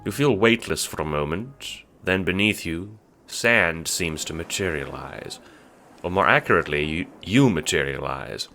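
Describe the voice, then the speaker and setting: A man's voice: low voice, lively intonation, moderate pace, creaky, background noise. A man reading scripted second-person story narration for an audio drama.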